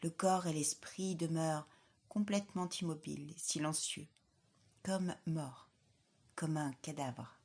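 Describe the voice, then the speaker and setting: A woman leading a guided yoga nidra relaxation as an instructor, in a calm, soothing, measured way with soft, breathy phrase endings. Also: sits low. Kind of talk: guided relaxation meditation, scripted.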